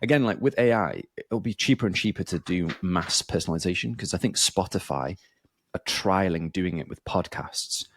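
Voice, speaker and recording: A man's voice: low-pitched, moderate pace. A man hosting a podcast in casual chat, rambling with many fillers and restarts. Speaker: podcast host.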